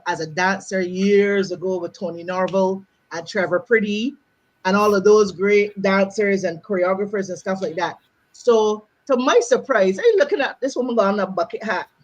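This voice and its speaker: A woman's voice: mid-range voice, rough recording. A woman hosting a live-streamed interview, building up her guest with emphatic showman introductions. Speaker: show host.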